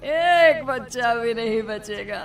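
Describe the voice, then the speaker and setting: A woman playing a vengeful ghost witch in a children's horror cartoon, menacing and gloating in drawn-out speech. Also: fairly high pitch, animated, recorded from a distance, faint music behind. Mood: sinister gloating. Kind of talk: children's animated horror story acting.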